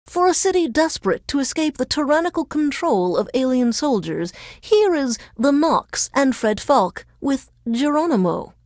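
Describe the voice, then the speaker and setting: A woman playing a sardonic female radio DJ in a video game, introducing songs in a deadpan, measured, formal announcer's delivery. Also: sits high, no room sound, studio quality. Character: dry, sardonic female radio DJ under alien occupation.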